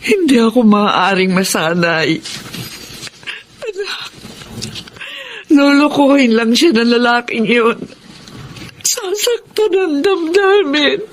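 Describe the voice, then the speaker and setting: A woman's voice: high voice, big pitch swings, slow, pauses often, slight background noise, heavily acted. A woman playing an anxious older mother in a radio drama, tearful and pleading.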